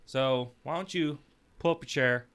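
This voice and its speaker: A man's voice: mid-range voice, a little slow, fairly bright tone, clear, resonant tone. A man hosting a casual panel chat in an emphatic, jokey way. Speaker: podcast host.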